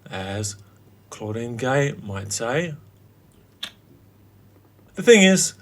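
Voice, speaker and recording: A man in his late forties narrating his own story as a host, in a wry, theatrical way with dramatic pauses. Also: medium pitch. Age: late 40s. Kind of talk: scripted personal narration.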